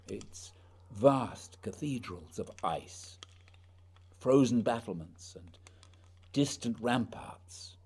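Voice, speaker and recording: An actor playing an earnest, haunted polar explorer in a radio play, speaking lyrically and deliberately in a husky voice. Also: male voice, fairly low pitch, darkish tone, full character voice. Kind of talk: poetic radio drama acting.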